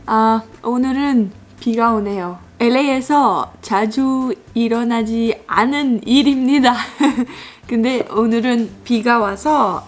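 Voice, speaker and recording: A woman from France with a French accent, chatting casually as a vlogger, bubbly and halting with fillers. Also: a little high. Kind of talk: casual chat.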